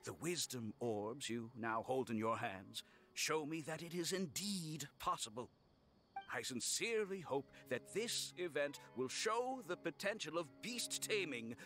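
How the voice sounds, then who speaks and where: A man's voice: a little high, sharp tone, exaggerated voice. A man playing an earnest, courteous, idealistic tournament patron making formal speeches in a video game. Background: game music.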